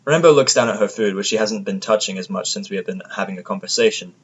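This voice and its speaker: A man's voice: fairly low pitch, fast, breathy edge. A man narrating a first-person audio drama from a script, with a sardonic manner.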